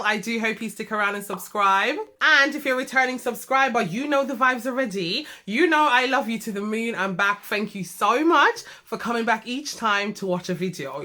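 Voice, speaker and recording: Woman, British accent, young adult, slightly nasal, bright tone, chatty and affectionate. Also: a little high. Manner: chatty and affectionate, full of asides to viewers. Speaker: beauty vlogger. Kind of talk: chatty fragrance review.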